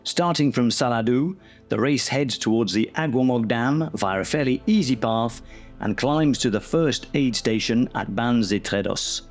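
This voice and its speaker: A man giving measured, scripted documentary narration about a mountain race. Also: medium pitch. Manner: measured, informative. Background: music.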